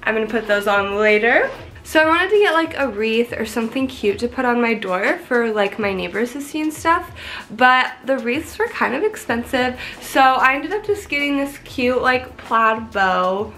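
Bubbly twenties vlogger, a bit high, stuffy from a cold, talking to camera in her apartment. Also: expressive, normal speed, a bit bright. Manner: bubbly, chatty, swears casually. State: congested from a cold. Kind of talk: casual vlog chat to camera. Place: small apartment bedroom, indoors. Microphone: camera in front, close framing.

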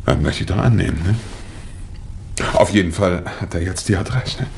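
An actor playing a cool, wry amateur detective in a 1960 radio mystery, with dry, theatrical banter. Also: male voice, low voice, moderate pace, gravelly, a little mellow. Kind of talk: 1960 German radio mystery play acting. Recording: vintage vinyl radio play, noticeable surface noise.

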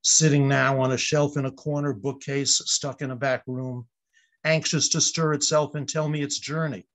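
Older man reading poetry, measured. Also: mid-range voice, level tone, a bit bright. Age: older, about 70s. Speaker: poet at an open mic. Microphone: video call.

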